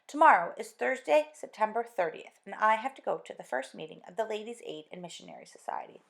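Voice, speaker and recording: Woman voices a cross, penny-pinching wife; sharp, complaining, gravelly edge. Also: mid-range voice, very animated, fast talker, voice pushed up. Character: cross thrifty middle-aged housewife.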